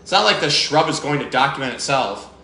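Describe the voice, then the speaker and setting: A man's voice: medium pitch, lively intonation, a little fast, pushed and bright, reverberant. A man reading aloud as a grumpy, jealous male goblin who complains indignantly.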